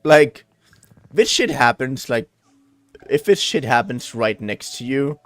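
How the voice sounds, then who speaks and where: A man's voice: medium pitch, animated, slow pace, a bit bright, no echo. A man livestreaming a video game with casual, theatrical commentary, emphatic and given to casual swearing. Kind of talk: casual let's-play commentary with dramatic read-aloud.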